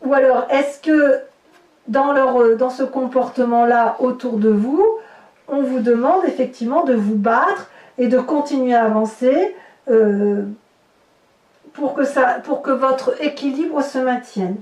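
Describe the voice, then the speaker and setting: A woman's voice: sits high, unhurried, darkish tone. A woman giving an improvised tarot reading to the viewer, explaining and repeating phrases for emphasis. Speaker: tarot reader. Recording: roomy echo, distant from the mic.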